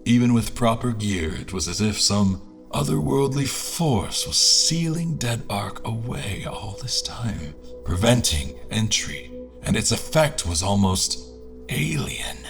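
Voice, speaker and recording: Deep-voiced adventurer recounting a journey. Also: male voice. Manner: formal, literary storytelling. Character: adventuring male narrator recounting a perilous airship expedition. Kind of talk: dramatic first-person scripted narration.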